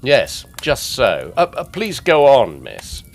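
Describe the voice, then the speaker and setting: A man playing a courteous, methodical, seasoned police detective in a gothic audio drama, deliberate and deferential. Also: medium pitch, huge pitch swings, creaky, faint music behind, full character voice. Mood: measured, curious. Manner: deliberate, deferential, slightly hesitant.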